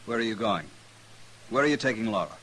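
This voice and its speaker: An actor playing a possessive, indignant frontier troublemaker in an old radio western, blustering and demanding. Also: male voice, on the low side, animated, fast, fairly bright tone. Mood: indignant, bullying. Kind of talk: old-time radio western drama acting. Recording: noisy vintage radio recording.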